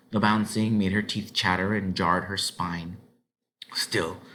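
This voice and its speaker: A man narrating a children's novel aloud in an expressive storybook style. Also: on the low side.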